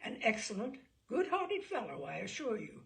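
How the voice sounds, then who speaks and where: Woman putting on a bluff, lively old navy admiral. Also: on the high side, normal speed, vocal fry, exaggerated voice. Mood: hearty, assured. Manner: blustery, rambling, emphatic. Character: bluff, hearty, chatty older male navy admiral. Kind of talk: audiobook character dialogue.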